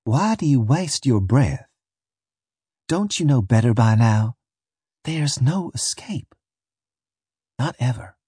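A young enslaved woman, voiced by a male audiobook reader, speaks in a soft, husky voice. She is scornful and worn down, sneering with bitter resignation. The sound is close and dry. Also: mid-range voice, expressive, slow, long pauses, no room sound, exaggerated voice. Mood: weary, bitter. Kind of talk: audiobook character voice.

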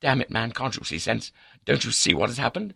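A man's voice: lowish voice, big pitch swings, brisk, gravelly, bright, forceful tone, breathy edge, no room sound, clean studio sound, voice pushed up, exaggerated voice. A man voicing an arrogant, indignant upper-class baronet in an audiobook, with a blustering, sneering and haughty manner. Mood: angry, exasperated. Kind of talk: audiobook character dialogue.

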